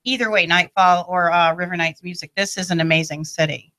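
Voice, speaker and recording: Bright-voiced woman hosting talk radio. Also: lowish voice, fairly even intonation, fairly quick, no room sound. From not from the area where she hosts. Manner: enthusiastic, talks up local events. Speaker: radio host. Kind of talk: radio interview. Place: radio studio.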